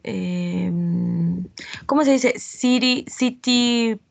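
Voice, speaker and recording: A woman student speaks slowly and tentatively, hunting for English words at a middle pitch. She talks over a video call. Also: creaky. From Cauca, Colombia. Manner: unsure, searches for English words.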